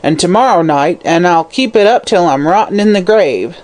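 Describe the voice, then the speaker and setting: A croaking, drunken old hag played by a woman reader snarls and curses. Her voice is pushed high and harsh, and it turns sharp and loud when she threatens. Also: lively intonation, a little slow, close to an everyday voice. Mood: snarling. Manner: snarling, cursing.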